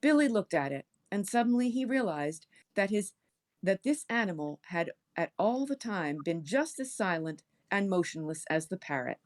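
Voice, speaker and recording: Female storyteller for spooky read-aloud narration, medium pitch with animated, expressive movement. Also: moderate pace. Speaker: story reader on a stream.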